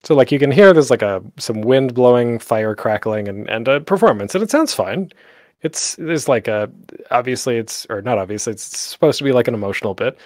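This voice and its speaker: A man's voice: medium pitch, animated, a little fast, no echo. Male panel host, mid-range voice, informal and rambling, very dry sound. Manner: informal, rambling, self-interrupting. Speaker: panel host teaching audio drama production. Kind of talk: casual instructional presentation. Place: close, dry home recording for an online panel.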